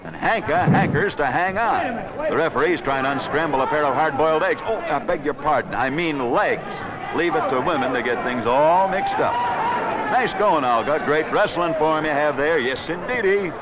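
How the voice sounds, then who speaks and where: A man's voice: on the high side, lively intonation, moderate pace. A man delivering scripted 1940s newsreel wrestling commentary in a wisecracking, theatrical, slangy manner, on an old film soundtrack. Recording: noisy, dull old 16mm newsreel soundtrack.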